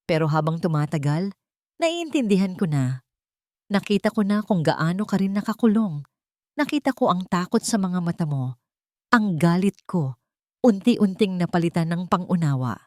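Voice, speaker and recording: An earnest young Filipino man in love , voiced by a woman narrator, forgiving and heartfelt. The tone is soft and warm, recorded dry and close, in the manner of an audio romance drama. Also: lowish voice, lively intonation, normal speed, dry recording, close to an everyday voice. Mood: confessing hurt.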